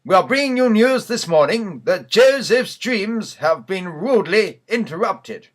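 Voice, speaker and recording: High-pitched man reading mock news. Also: lively intonation, unhurried. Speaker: newsreader in a church sketch. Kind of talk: mock news bulletin, scripted narration.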